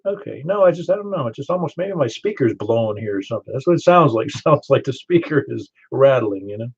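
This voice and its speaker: An older man with a Southern American accent running a ham radio net, chatty and quick to laugh as he tells stories. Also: medium pitch, lively intonation, gravelly, slightly rough. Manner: chatty, storytelling, laughs easily. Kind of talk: casual radio chat. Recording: muffled ham radio audio.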